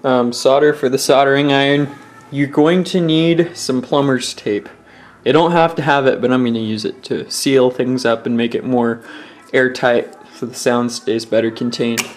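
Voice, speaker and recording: A man's voice: mid-range voice, steady tone, moderate pace. A young man giving a casual, slightly hesitant how-to explanation, thinking aloud, recorded indoors at home on a close handheld camera. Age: young adult. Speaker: DIY tutorial presenter. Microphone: handheld camera at close range.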